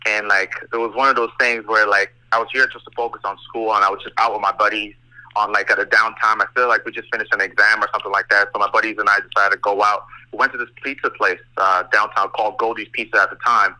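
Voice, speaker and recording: A man's voice: lowish voice, flat delivery, quick pace, sharp tone. A man in his twenties, a comedian guest chatting in casual slang over a phone line. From born in Nigeria, grew up in Canada. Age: 20s. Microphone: telephone.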